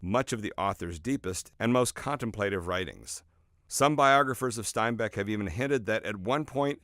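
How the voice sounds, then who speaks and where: A man reading his own nonfiction book as scripted narration, in a measured, expressive storytelling way with emphatic phrasing. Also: on the low side, dry recording, studio quality. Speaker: author reading own audiobook.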